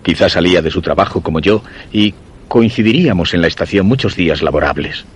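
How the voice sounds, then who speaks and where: A man's voice: low-pitched, animated, brisk, husky. An actor with a Castilian Spanish accent playing a brooding, obsessive man narrating his diary in a radio drama. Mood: brooding, wistful. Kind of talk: first-person dramatic narration.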